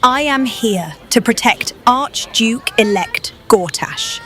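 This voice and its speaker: An earnest fantasy-game heroine. Her voice sits a little high and moves expressively from line to line. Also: expressive, slow, a bit bright, soft background music, a faint crowd. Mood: curious, assertive. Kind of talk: video game fan-film character acting.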